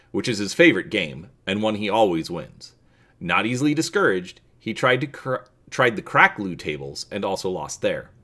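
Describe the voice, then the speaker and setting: A man reading a story aloud as a narrator, in an expressive, theatrical manner. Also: lowish voice. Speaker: reader and channel host.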